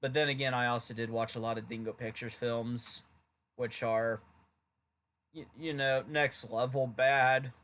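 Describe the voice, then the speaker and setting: A man's voice: medium pitch, fairly even intonation, a little slow, fairly bright tone. A young man reviewing an old anime dub in a casual, sarcastic, rambling way.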